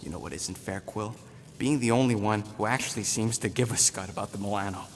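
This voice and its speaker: An actor playing a small, foul-mouthed, cranky creature mercenary who gripes sarcastically in a video game. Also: male voice, on the low side, brisk, long reverb tail, slight background noise, natural voice. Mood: bitter, sarcastic. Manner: sarcastic, griping, brash. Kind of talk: animated game dialogue acting.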